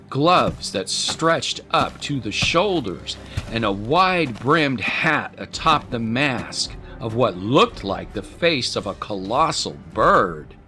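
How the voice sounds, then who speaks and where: A man's voice: medium pitch, pushed and bright. A man narrating a scripted story in a dramatic storytelling style.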